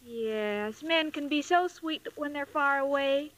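Very high-voiced, naive young American blonde. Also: female voice, fairly bright tone, dry recording. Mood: naive, dreamy. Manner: guileless, earnest, slow on the uptake. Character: sweet, naive, dim-witted young blonde roommate. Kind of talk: 1940s radio sitcom acting. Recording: noisy old radio broadcast recording.

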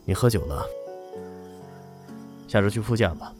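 A man's voice: fairly low pitch, lively intonation, measured pace. An actor playing a composed, terse male company boss in a Chinese audio drama, commanding yet restrained. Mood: calm, firm. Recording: music bed under the voice.

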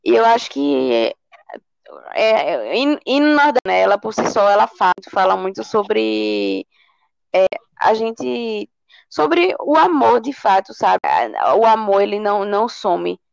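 A singer from Recife, chatting easily as a podcast guest. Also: female voice, mid-range voice, unhurried, vocal fry, dry recording, dull audio. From Recife, Brazil. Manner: relaxed, says "menino" and "sabe?". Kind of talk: casual interview chat.

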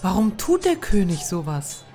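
A woman playing a sweetly naive maiden in a comic fantasy audio play. Her gentle voice sits at medium pitch, with clearly audible music underneath. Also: sing-song, normal speed. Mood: troubled. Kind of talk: comedic fantasy audio drama acting.

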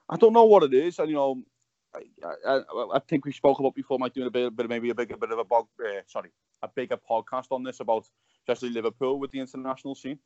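A man hosting a podcast in casual chat, halting and repeating his words as he goes. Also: mid-range voice, a little mellow, no room sound, dull audio. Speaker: podcast host.

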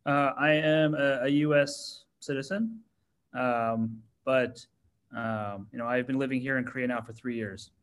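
An American man in his thirties, a startup CEO answering interview questions in a relaxed way with many fillers. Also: mid-range voice, unhurried, smooth. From United States. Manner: relaxed, many ums and you-knows.

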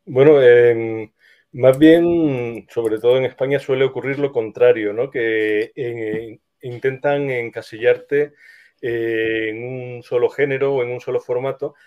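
A man answers interview questions in a Castilian Spanish accent. He is medium in pitch and thoughtful, pausing often as he searches for words. The sound is slightly dull. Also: steady tone. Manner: halting, thoughtful, frequent pauses. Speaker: interview guest, screenwriter.

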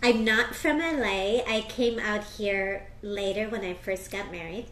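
An American woman speaking as an astrologer and tarot reader, chatting casually in an interview with bursts of excitement. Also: medium pitch, reverberant, a little hiss or hum. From United States. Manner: animated, quick bursts of excitement.

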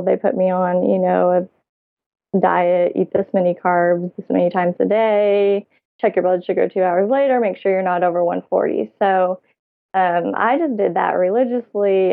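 A woman's voice: medium pitch, fairly even intonation, moderate pace, creaky. A woman in her thirties, a podcast guest, chatting casually and laughing often as she tells stories. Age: 30s. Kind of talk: casual interview chat. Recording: phone-line sound.

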